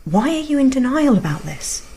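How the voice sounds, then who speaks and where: Insistent, urgent British wife. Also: female voice, high-pitched, very animated, normal speed, mellow, a little hiss or hum. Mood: urgent, accusing. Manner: urgent, intense. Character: earnest, insistent young wife, convinced and pressing. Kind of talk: naturalistic radio drama acting.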